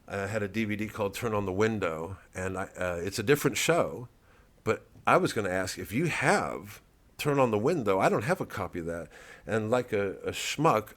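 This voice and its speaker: An American man, a comedian, talking casually to his fans in a rambling, digressive monologue with some swearing. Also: lowish voice.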